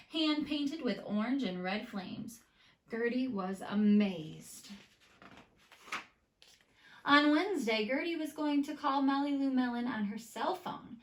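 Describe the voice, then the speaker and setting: Higher-voiced woman reading a picture book. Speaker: children's read-aloud teacher. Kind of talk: expressive picture-book read-aloud with asides. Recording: roomy, echoey indoor sound.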